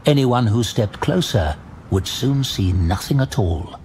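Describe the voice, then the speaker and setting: A man narrating a fantasy audio drama in a measured, deliberate, suspenseful storytelling style. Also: mid-range voice, expressive, a little mellow, a little hiss or hum. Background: music.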